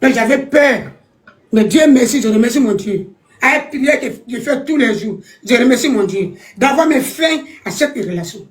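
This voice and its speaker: A woman's voice: a little high, lively intonation, normal speed, roomy sound. An older woman, the guest's mother, speaking emphatically and repeating herself, laughing now and then. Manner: emphatic, repetitive, laughing through relief. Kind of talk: emotional call-in remarks.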